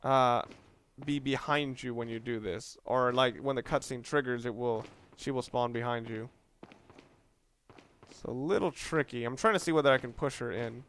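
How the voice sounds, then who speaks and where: A man's voice: medium pitch, normal speed, a bit bright, clean tone. A man doing casual, rambling video game commentary, informal and opinionated, with some mild swearing. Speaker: let's play commentator.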